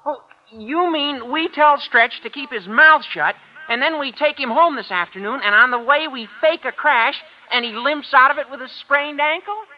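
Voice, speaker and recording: Super high squeaky american teen boy, gawky and eager, old radio sitcom, muffled sound. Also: normal speed, few pauses. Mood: conspiratorial. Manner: eager, slangy, boyish. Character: squeaky, eager, gawky male teenage high school student. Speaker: actor in a radio comedy. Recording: muffled vintage radio recording.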